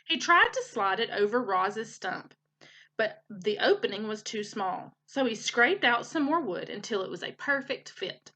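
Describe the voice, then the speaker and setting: A woman reading a children's story aloud as a teacher would, in an expressive storytelling style. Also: a little high, a little slow. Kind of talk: animated children's storybook narration.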